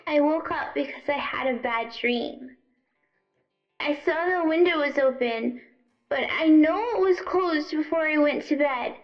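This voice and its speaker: A woman playing a frightened young girl in an audio drama, telling her story in a halting, childlike, tearful way. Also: high-pitched, dull audio. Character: frightened young girl recounting a killer's attack.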